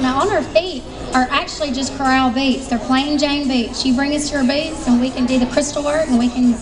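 A woman's voice: high-pitched, background noise. A woman with a Southern US accent announcing a live fashion show at an expo, talking in a chatty, folksy sales patter with plenty of fillers. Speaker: fashion show emcee and booth owner. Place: large expo hall stage. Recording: distant voice over loud music in a hall.

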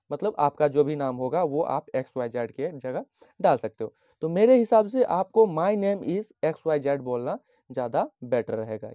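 Man from Bihar, tutor type, slow patient explainer, mellow tone, dry dull lo-fi sound. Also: fairly high pitch, no echo. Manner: slow, patient, repeats each phrase and dictates English lines. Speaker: teacher. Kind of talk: handwritten English lesson explained in Hindi. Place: at a desk, writing on a notebook page.

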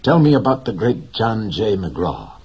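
A man voicing an earnest, devoted young fisher boy in a higher, lighter voice. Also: big pitch swings, unhurried, husky, full character voice. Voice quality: raised, brighter boyish voice.

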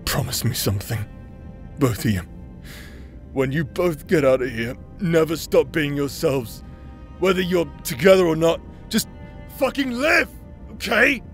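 A fairly high-voiced drunken villain played by a man, protective and self-loathing. He stammers and swears through emotional audio drama lines, with faint music behind him. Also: lively intonation, a little slow, long pauses. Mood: bitter, loving. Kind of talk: emotional audio roleplay acting.

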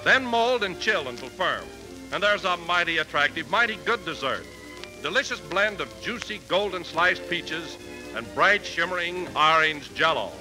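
A man's voice: on the high side, lively intonation, normal speed, poor audio. A man announcing on 1940s radio with enthusiastic sales patter. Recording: vintage radio broadcast, music and audience behind.

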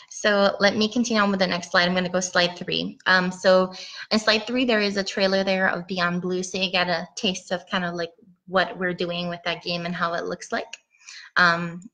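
Woman, 30s, from Puerto Rico, talkative presenter on a headset call, flat low voice with a bright, gravelly edge. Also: poor audio. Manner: chatty, rambling with ums. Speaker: guest speaker, game designer. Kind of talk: casual presentation talk. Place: home room with bookshelf. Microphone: headset mic on video call.

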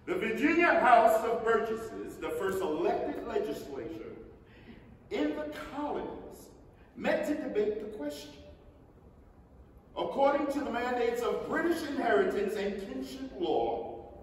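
A man reads historical nonfiction from a stage in a high, animated voice. His phrasing is measured and emphatic. He sounds distant, in a very reverberant theatre. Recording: echoey theatre hall from far off, faint noise.

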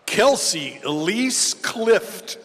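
A man announcing graduate names at a podium microphone in a large indoor arena, reading each name slowly and clearly. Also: sits high, sing-song, fairly bright tone, a little hiss or hum. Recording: long reverb of a large arena.

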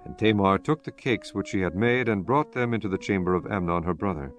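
A man's voice: low voice, a little fast. A man narrating scripture as a scripted dramatized reading, in a formal, solemn storytelling manner. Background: background music.

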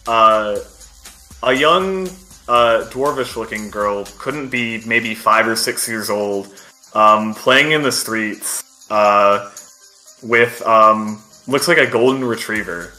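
Bright-voiced man narrating a game. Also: mid-range voice, soft background music, rough recording. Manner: halting, descriptive. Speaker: game master. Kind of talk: improvised tabletop game narration.

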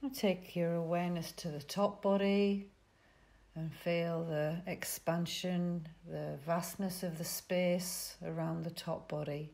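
A woman teaching yoga nidra, giving soothing, unhurried guided relaxation instructions with pauses between phrases. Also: lowish voice, fairly even intonation, vocal fry, a little mellow.

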